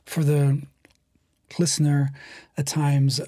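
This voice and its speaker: A guest in their thirties from Lebanon, speaking slowly and thoughtfully, with a stutter that often shows as silent pauses. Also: medium pitch, monotone, mellow. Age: 30s. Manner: slow, measured and thoughtful. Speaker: guest, poet and writer. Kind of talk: reflective interview conversation.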